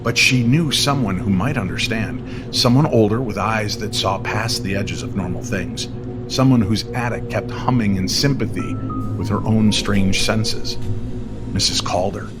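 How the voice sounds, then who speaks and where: A man narrating an eerie scripted story in an old-time radio style, measured and suspenseful. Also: fairly low pitch, over music, poor audio.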